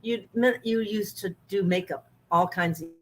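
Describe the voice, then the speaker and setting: A woman, talking casually through a makeup demonstration with lots of laughter and gentle instructions. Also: medium pitch, moderate pace. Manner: chatty, giggly, gently bossy. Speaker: makeup artist.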